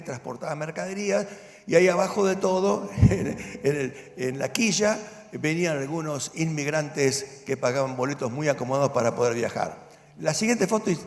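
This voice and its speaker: Older man, a pastor in his 70s. Earnest testimony delivered to a congregation, with long hall reverb and music under it. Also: on the high side. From Argentina. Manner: earnest storytelling, rising to firm conviction. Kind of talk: personal testimony at a church event.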